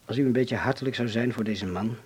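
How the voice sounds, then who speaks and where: An actor playing a courteous, grave man in hiding in a Dutch radio drama, speaking formally and deliberately with a Netherlands Dutch accent. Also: mid-range voice, husky, lightly acted. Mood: delicate, persuasive.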